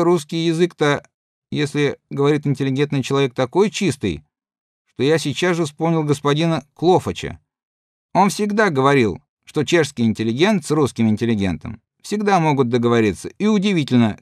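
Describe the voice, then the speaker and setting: Lively, dry-voiced reader doing a rambling, good-natured soldier spinning a yarn for his mates, read by a man. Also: fairly high pitch, moderate pace, dry recording. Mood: chatty. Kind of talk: audiobook reading.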